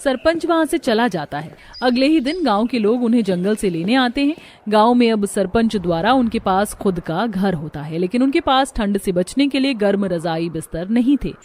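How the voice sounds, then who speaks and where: A woman's voice: mid-range voice, animated, normal speed, hardly any pauses, a little mellow. Woman narrating a cartoon moral story.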